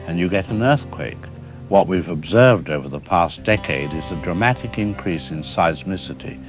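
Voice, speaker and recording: Careful worried seismologist guy, a man acting it, real deep voice, explains slow and hedges. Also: lo-fi sound. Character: calm middle-aged male seismologist, measured and worried. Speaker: expert guest. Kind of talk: audio drama news interview.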